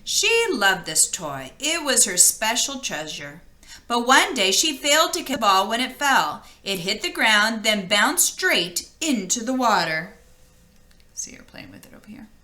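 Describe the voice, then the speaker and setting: A woman reading a fairy tale aloud as an expressive storyteller, with deliberate dramatic emphasis. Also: on the high side. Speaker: storybook reader.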